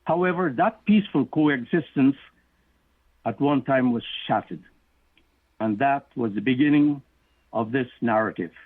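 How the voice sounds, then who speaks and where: A middle-aged man from Guyana, an author answering interview questions in a measured, explanatory way. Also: mid-range voice, slightly rough, dry recording. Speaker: author and guest. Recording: tinny phone line.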